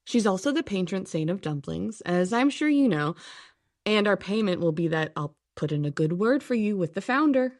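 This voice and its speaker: A woman's voice: medium pitch, animated, moderate pace, no echo, studio quality, close to an everyday voice. A woman playing a practical, assertive young traveler, matter-of-fact and natural, in a science fiction audio drama. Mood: persuasive.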